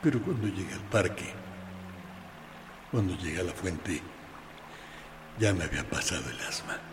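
An actor with a Uruguayan accent playing an earnest, intense boy, telling his story deliberately and emphatically in a radio play. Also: low-pitched, animated, background noise. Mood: earnest, hurt. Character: earnest, intense boy recounting small heartbreaks and grudges. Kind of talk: dramatic monologue in a radio play. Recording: long reverb, music bed underneath.

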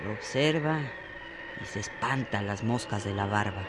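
A man's voice: on the low side, normal speed, noisy background, lightly acted. A man performing the narrator of a desert tale in a scripted Spanish-language radio drama. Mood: measured.

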